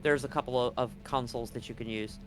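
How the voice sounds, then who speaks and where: A man's voice: mid-range voice, steady tone, fast. A man improvising casual, sweary narration as game master of a tabletop game. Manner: casual, profane. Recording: music behind the voice. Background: music.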